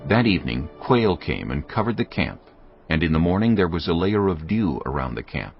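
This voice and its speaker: Casting note: male narrator, deep gravelly voice, measured scripture reading, dull track. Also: very animated. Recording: dull audio with faint music behind.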